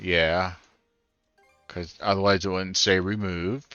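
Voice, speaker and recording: A man casually narrates his playthrough, musing aloud as he goes. His voice is low and clear, recorded dry with game music behind it. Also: little pitch movement, slow pace, bright, forceful tone, dry recording, highs cut off. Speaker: gaming streamer. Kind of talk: casual first-time playthrough commentary.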